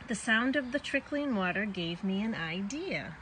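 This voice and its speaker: A woman's voice: medium pitch, expressive, normal speed, fairly bright tone, a little hiss or hum, rough recording. A woman reading a children's story aloud expressively, drawing out words for young listeners.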